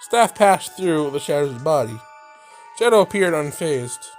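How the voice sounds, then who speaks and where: High-voiced man in a game playthrough over music. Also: lively intonation, a little slow. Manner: exclamatory, reacting aloud in slangy casual speech. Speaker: let's play streamer. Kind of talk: visual novel let's play with read-aloud narration and reactions. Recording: prominent background music.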